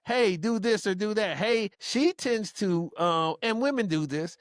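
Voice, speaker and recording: A man's voice: high voice, a little slow, clear, resonant tone, dry recording, studio quality. A man co-hosting a casual relationship discussion, making his points emphatically and repeating phrases. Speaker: podcast co-host. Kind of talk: casual relationship debate chat.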